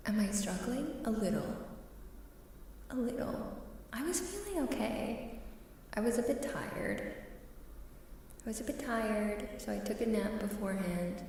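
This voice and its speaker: Slow, echo-drenched ASMR woman streamer. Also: mid-range voice, animated, distant mic. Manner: soft-spoken, often dropping into a whisper. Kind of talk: ASMR casual chat to stream viewers. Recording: heavily echoing reverberant room sound, faint noise.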